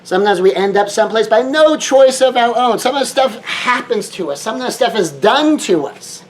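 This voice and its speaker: A man's voice: very high voice, lively intonation, moderate pace, a little hiss or hum. Emphatic man giving a motivational talk. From Southern California. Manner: repetitive, emphatic phrasing with varied tempo. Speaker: life coach.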